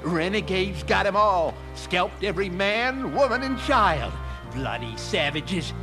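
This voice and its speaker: A wily American frontier swindler, high-voiced and very animated. He is chatty and folksy, a western game character with music playing behind him. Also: a little slow. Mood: folksy, sly. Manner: folksy, chatty, drawn to exclamations. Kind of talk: video game western acting.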